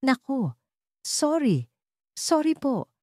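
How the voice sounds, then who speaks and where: A woman narrator plays a dutiful young stepdaughter in a dramatic story. She speaks politely and submissively, her voice lifted higher and very dry. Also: very animated, slow pace, warm tone, no echo, full character voice. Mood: apologetic. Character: meek, dutiful nineteen-year-old stepdaughter.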